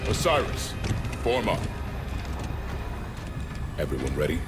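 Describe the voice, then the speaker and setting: An actor playing a serious, disciplined soldier leading an elite squad, speaking in clipped commands in a video game. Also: male voice, sits low, very animated, slow pace, heavily acted. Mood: composed, terse. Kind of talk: video game cinematic acting. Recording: loud music and vehicle noise behind.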